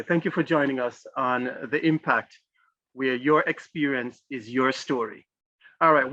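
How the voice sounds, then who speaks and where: A man's voice: on the high side, sharp tone, no echo, dull audio. A middle-aged man hosting an interview show, emphatic and repeating key phrases as he introduces and questions his guest. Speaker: show host.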